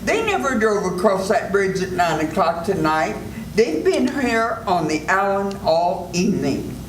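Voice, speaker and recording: An older woman playing a commanding male doctor in a radio play reading, with a measured, stern delivery. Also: mid-range voice, big pitch swings. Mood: urgent. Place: senior center event room, live reading. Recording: echoey room with audible noise.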